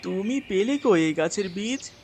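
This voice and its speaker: A man's voice: high voice, lively intonation, a little slow, darkish tone, slight background noise. A man voicing a practical, enterprising little male bird in a children's cartoon, in a friendly manner. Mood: curious, practical.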